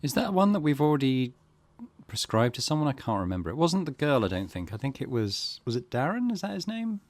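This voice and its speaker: A man's voice: mid-range voice, sing-song, brisk, no echo. A man giving casual let's play commentary as a gaming host, musing tentatively in a conversational way.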